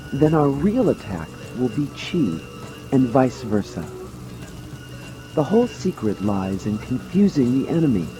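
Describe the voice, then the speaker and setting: Guy reading audiobook commentary, deliberate but kinda lively, music and rain underneath. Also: medium pitch, rough recording. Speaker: audiobook narrator. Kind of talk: dramatized scripted narration of commentary. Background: music and rain sounds.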